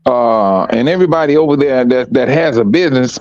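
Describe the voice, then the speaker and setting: A man from Chicago talking in a measured, reflective way, often repeating himself as he gathers his thoughts. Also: mid-range voice, a little mellow, no echo, dull audio. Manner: measured, repeats words as he thinks. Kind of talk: casual reflective chat.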